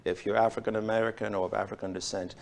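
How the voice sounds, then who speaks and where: A middle-aged man from Switzerland, a urologist guest on a TV health show, speaking in a measured, formal way with frequent fillers. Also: on the low side, level tone, vocal fry, a little hiss or hum. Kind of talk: TV health interview. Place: bright TV studio set.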